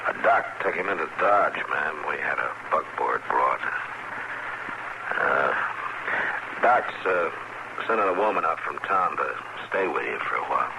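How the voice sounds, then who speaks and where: An actor playing a steady, reasonable frontier marshal with an American accent, measured and understated in an old radio western. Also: male voice, very deep voice, creaky, heavily acted. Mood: gentle, sober. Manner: measured, understated, hesitant when delicate. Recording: noisy old radio drama recording.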